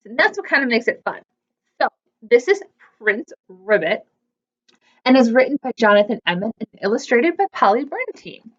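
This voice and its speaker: A woman's voice: a little high, big pitch swings, normal speed, highs cut off. A woman hosting a children's storytime, reading aloud expressively and chattily putting questions to young listeners. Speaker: children's library storytime host.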